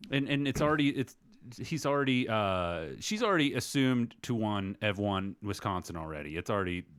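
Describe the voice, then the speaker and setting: A man's voice: on the low side, expressive, normal speed, fairly bright tone, clear, resonant tone, dry recording, clean studio sound. A man co-hosting a podcast, talking politics casually and explaining in a rambling way that circles back on itself. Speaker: podcast co-host.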